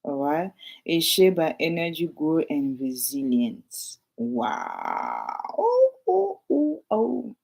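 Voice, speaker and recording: A woman's voice: low-pitched, wildly swooping pitch, slow, gravelly, darkish tone, husky. A woman with a French accent, a realtor chatting casually to her viewers while reading from her notes and adding asides.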